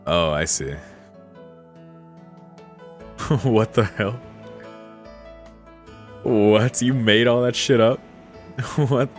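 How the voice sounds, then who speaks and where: A man's voice: mid-range voice, drawn-out words, pauses often, faint music behind. A man streaming a visual novel, mixing read-aloud narration with casual commentary. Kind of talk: casual let's-play commentary and reading aloud.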